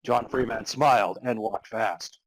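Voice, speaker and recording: A man's voice: lowish voice, a little slow, rough recording. A man reading amateur fan fiction aloud as narrator, in a mock-serious dramatic storytelling style. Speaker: podcast host reading as narrator.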